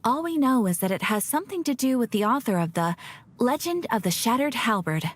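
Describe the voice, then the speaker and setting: Lively, high-voiced guild receptionist. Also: female voice, fairly quick. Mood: helpful. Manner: helpful, informative. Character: polite, businesslike female guild receptionist. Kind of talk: video game voice acting. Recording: faint music behind the voice.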